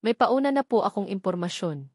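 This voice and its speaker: A Filipino woman voicing a deferential male private investigator, quiet but clear, in a narrated story. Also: mid-range voice, lively intonation, a little fast, dry recording, clean studio sound. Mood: discreet, respectful.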